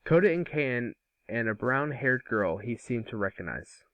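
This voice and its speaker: A man narrating a script aloud in an amateur table read, with a halting, stumbling delivery. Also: medium pitch, very animated, no echo, muffled recording.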